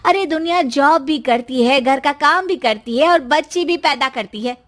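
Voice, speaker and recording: A woman voices a scolding young wife in a cartoon comedy. Her voice is very high, and she is bossy, unimpressed and dripping with sarcasm. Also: normal speed, fairly bright tone. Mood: exasperated. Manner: sarcastic, nagging. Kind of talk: comic moral-story cartoon dialogue.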